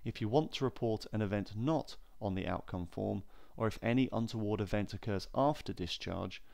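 A man narrating a scripted training film in a measured, formal, clearly enunciated way. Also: fairly low pitch, darkish tone.

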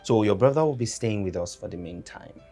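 A man acting an earnest, apologetic husband in a film drama, halting and stammering when pressed. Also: fairly low pitch, expressive, mellow, soft background music. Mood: stern, concerned. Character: earnest, apologetic husband who gets loudly indignant.

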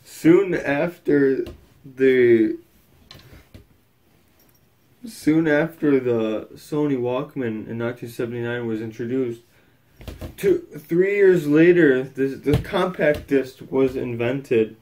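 A young man giving a casual, partly scripted history presentation in a loose, halting way, recorded indoors a few meters from a phone camera. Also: mid-range voice, animated, darkish tone. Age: young adult. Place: small indoor room at home.

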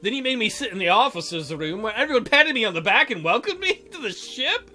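A man's voice: very high-pitched, sing-song, fast talker, bright, forceful tone. A man reading a visual novel aloud as a let's-play, joking and theatrical with playful commentary. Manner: joking, theatrical, often giggling. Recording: music under the voice.

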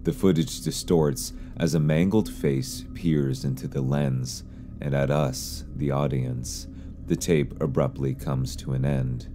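A man reading scripted explainer narration in a measured, serious way, as a video narrator. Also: rumbling low, fairly even intonation. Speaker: YouTube narrator.

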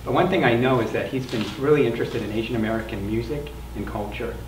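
A man's voice: mid-range voice, fast. An older man moderating a panel, explaining things informally and conversationally in a meeting room. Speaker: interviewer and moderator of an oral history panel. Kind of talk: informal spoken introduction to a panel. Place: meeting room with a small audience. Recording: roomy, distant mic with clear background noise.

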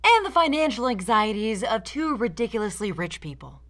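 Animated, high-voiced satirical woman host. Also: normal speed. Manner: arch, theatrical mock-sincere delivery. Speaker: video essay host. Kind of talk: satirical commentary to camera. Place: indoor studio set with plain backdrop.

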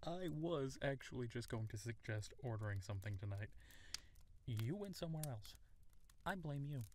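A man playing an affectionate, playful young husband and new father in an intimate audio roleplay, with a tender, teasing manner and hesitant pauses. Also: medium pitch, lively intonation, a little mellow, slight background noise, lightly acted. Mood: playful, relaxed.

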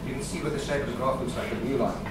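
A man's voice: medium pitch, steady tone, racing. A man giving a conference presentation, joking dryly, with fillers and restarts. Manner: wry, joking asides with fillers. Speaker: conference speaker. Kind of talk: presentation talk. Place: conference hall. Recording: echoey hall from far off, background noise.

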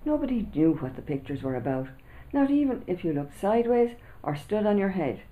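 A woman, an author reading her children's book aloud with lively storytelling, seated indoors before a camera. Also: on the low side, fairly quick, narrow, tinny audio. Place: small indoor room before a backdrop.

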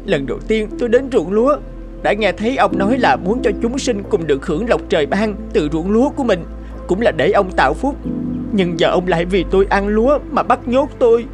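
A woman voicing a small, gentle bird in an animated folk tale, with a plaintive, pleading manner. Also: mid-range voice, a little fast, natural voice. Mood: sorrowful, reproachful. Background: music behind the voice.

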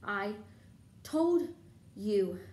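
A woman's voice: a little high, measured pace, darkish tone. A woman voicing a bossy, exasperated clever schoolgirl in a storybook, scolding with emphasis. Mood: breathless scolding. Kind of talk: storybook character voice in a read-aloud. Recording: roomy echo, faint background noise.

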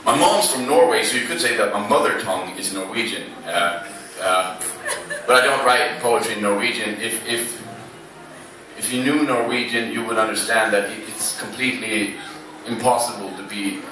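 Halting, self-mocking Swedish man on stage. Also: lowish voice, background noise. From Sweden. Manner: dry, self-mocking, halting with many fillers. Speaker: slam poet. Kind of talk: stand-up style spoken word banter. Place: small club stage. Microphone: stand mic on stage, recorded from the audience. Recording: echoey club from far off.

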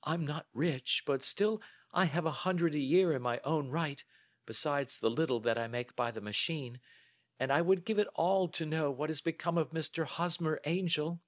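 A man's voice: a little high, normal speed, no room sound, clean studio sound, pitched above the performer's own voice, lightly acted. A man voicing an anxious, chatty young female client in an audiobook, with a flustered, rambling manner. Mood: pleading. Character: anxious, good-humored, chatty large young woman client. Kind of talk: audiobook character dialogue.

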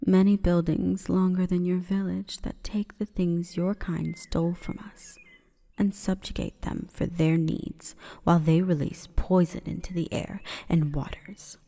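Female forest spirit, played by a woman for ASMR roleplay: mellow breathy whisper, solemn and seductive, in long reverb. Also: fairly low pitch, level tone. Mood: solemn, hushed. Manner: slow, breathy, formal and commanding.

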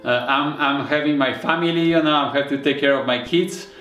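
A man's voice: on the high side, a little fast, faint music behind. An artist in his sixties from Poland, telling stories in broken English in his home studio. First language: Polish. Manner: broken English, chuckling, lively storytelling. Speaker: artist, interview guest. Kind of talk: casual conversation. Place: painting studio in a home, indoors. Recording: roomy studio echo.

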